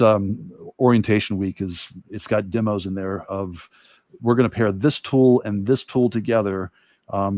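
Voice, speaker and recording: A man who teaches art, talking casually and thinking aloud in a halting way during a livestream demo. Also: on the low side, a little mellow, no room sound, studio quality. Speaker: art instructor and illustrator.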